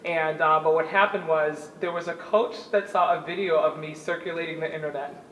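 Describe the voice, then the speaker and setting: A woman in her thirties from New York, telling her life story in an earnest, animated way as an interview guest. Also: deep voice, fairly quick, slight background noise. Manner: animated, laughs easily, earnest. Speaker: guest, former Olympic shot putter. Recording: roomy, distant mic, faint ambience.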